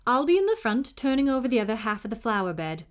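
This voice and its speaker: A woman voicing a caring, matter-of-fact female caretaker in a storybook, with a brisk, attentive manner. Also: a little high, sing-song, voice pushed up.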